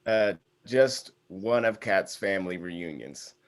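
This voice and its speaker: A man's voice: sits low, lively intonation, takes it slowly. A man playing an easygoing, confident young man in a casual, conversational table read of a screenplay. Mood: playful.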